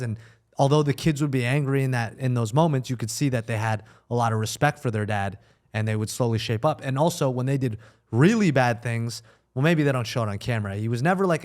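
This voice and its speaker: American man in casual, reflective conversation. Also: medium pitch. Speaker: podcast participant.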